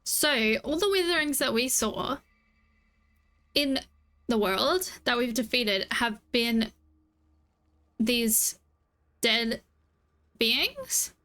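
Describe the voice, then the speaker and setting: A woman's voice: fairly high pitch, drawn-out words, pauses often, sharp tone. A woman streaming a game, giving casual reaction commentary as she plays.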